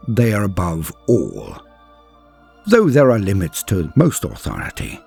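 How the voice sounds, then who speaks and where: Husky, low male dramatic lore narrator. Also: a little mellow. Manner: theatrical, portentous, with deliberate pauses. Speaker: narrator and host of a lore channel. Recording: music playing behind the voice. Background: background music.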